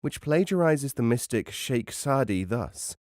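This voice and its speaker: A man reading a travel memoir as an audiobook narrator, in a measured, wry and formal literary manner. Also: mid-range voice, expressive, darkish tone, dry recording, clean studio sound.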